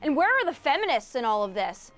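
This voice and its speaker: A woman's voice: high-pitched, very animated, fast, a bit bright. A woman delivering scripted political commentary in an indignant, scolding way.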